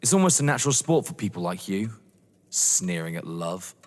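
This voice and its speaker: An actor playing a young English lover, earnest, polite, proud and defensive, in a theatrical audio drama. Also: male voice, deep voice, sing-song, normal speed. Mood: indignant. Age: young adult. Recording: echoey hall-like reverb.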